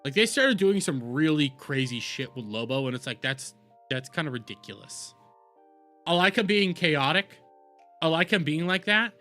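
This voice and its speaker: Man, streamer, animated intonation, rambling and repetitive, sweary, casual commentary, music in the background. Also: a little high, normal speed. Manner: rambling, repeats himself, swears freely. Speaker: streamer reacting to videos.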